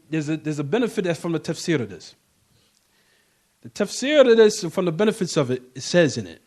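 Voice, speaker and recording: Male Islamic class teacher, notably high voice, emphatic informal lecturing with repetition and rhetorical check-ins. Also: darkish tone. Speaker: religious teacher giving a class.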